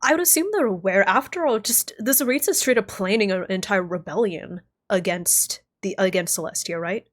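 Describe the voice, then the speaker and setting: A young woman streamer reacting live to a game, thinking aloud, excitable, swearing and laughing. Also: medium pitch, normal speed, hardly any pauses.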